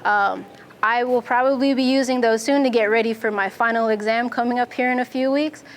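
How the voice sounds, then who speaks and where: A young adult woman from Fiji, a Navy instructor, answering interview questions in a clear, methodical way. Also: on the high side, fairly even intonation, brisk, a little hiss or hum. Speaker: Navy nuclear instructor and student.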